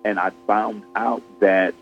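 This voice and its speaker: A man in his fifties, a guest on an interview show, speaking in a measured, soft-spoken way over a video call. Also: on the low side, level tone, creaky, muffled recording, soft background music. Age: 50s. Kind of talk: casual interview conversation.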